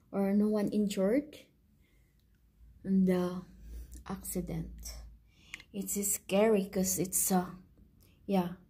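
A woman in her forties, born in the Philippines, vlogging casually on her phone from a high-rise apartment and balcony, pointing things out to viewers. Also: mellow. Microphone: phone at arm's length. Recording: phone recording with clear outdoor traffic noise.

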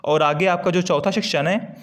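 Higher-pitched man explaining informally. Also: fairly even intonation, normal speed. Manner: conversational, repeats key points for listeners. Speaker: exam-preparation video host. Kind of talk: informal explainer, walking through a document. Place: screen-recorded voice-over.

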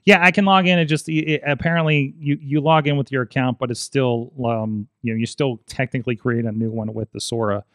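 A man's voice: medium pitch, steady tone, fairly quick, hardly any pauses, smooth, no echo. Man, forties, podcast host, rambling casual talk, dead dry studio sound. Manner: rambling, many fillers and restarts. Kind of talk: casual tech chat. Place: small studio with foam panels. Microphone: studio mic on boom arm, headphones.

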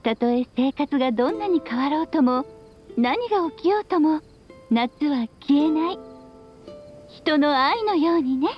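A woman's voice: high voice, animated, a little slow, lo-fi sound. A woman playing a playful, loving, long-lived witch mother, speaking tenderly to her child like a storyteller in an anime game. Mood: wistful, loving. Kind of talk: Japanese anime game voice acting. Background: background music.

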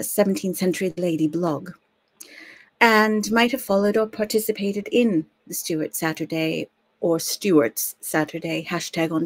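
A woman's voice: mid-range voice, dry recording. American woman hosting a history livestream. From United States. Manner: chatty, friendly, with fillers and small laughs. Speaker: host. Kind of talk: live-streamed history show introduction. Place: home study with bookshelves.

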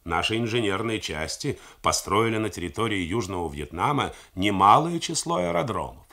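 A man reading the part of an older defense minister who speaks slowly and deliberately. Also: on the low side, sing-song, creaky, sharp tone, heavily acted. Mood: grim. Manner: slow, deliberate, emphatic. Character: blunt older male defense minister, measured. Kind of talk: radio drama reading.

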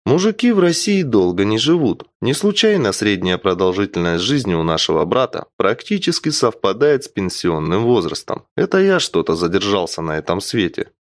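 A man's voice: fairly low pitch, sing-song, normal speed, few pauses, no room sound. A man narrating an audiobook in the first person with a wry, theatrical storytelling delivery.

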